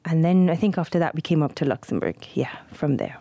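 A Trinidadian woman in her forties speaks as a podcast guest. Her voice is low and warm, and she talks in a relaxed, fluent way with soft fillers. The recording is close and dry. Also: brisk, dry recording. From Trinidad and Tobago. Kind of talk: casual interview.

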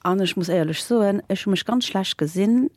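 A woman, a guest in a casual interview, telling stories with lots of fillers and lively laughter. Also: medium pitch, a little fast, no echo. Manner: animated, laughing while telling anecdotes, many fillers. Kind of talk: casual storytelling.

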